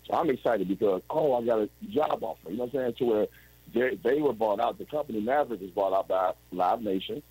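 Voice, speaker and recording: A man's voice: on the low side, animated, quick pace, mellow, breathy edge, no room sound, rough recording. A man in his forties telling his story as an interview guest over a video call, rambling and restarting often. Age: 40s. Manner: rambling, with frequent restarts and "you know what I'm saying".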